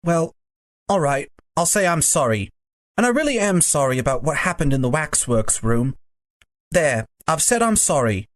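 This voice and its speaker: A storybook narrator voicing an earnest, excitable, defensive young boy with pleading, old-fashioned schoolboy phrasing. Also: mid-range voice, normal speed, dry recording, voice pushed up, lightly acted. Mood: apologetic, earnest. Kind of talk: audiobook character dialogue.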